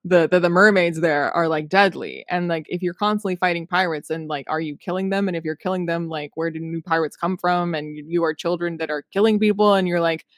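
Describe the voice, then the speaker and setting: A woman's voice: on the low side, a little fast, hardly any pauses, no room sound, clean studio sound. A woman co-hosting a podcast, talking casually and giving opinions in a matter-of-fact, wry way. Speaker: podcast co-host.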